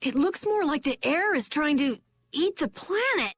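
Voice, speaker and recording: A woman's voice: high voice, expressive, normal speed. A woman voicing a blunt, clever teenage girl in a video game, sounding matter-of-fact and pointed.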